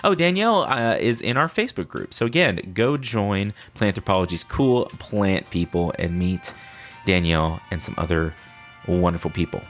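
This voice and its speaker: A man with a lowish voice and very animated intonation hosts a solo podcast. He talks casually and off the cuff, wandering from point to point with playful, self-deprecating asides. Faint music sits behind his voice. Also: normal speed. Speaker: podcast host.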